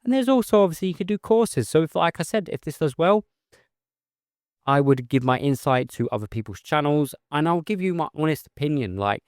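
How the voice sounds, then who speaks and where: A man with a monotone, settling voice, talking casually and earnestly to his viewers, rambling a little as he goes. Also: on the high side, fairly quick, no echo, clean studio sound. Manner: rambling, earnest, with filler phrases and restarts. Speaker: vlogger, heavy goods mobile mechanic. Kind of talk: casual talk to camera.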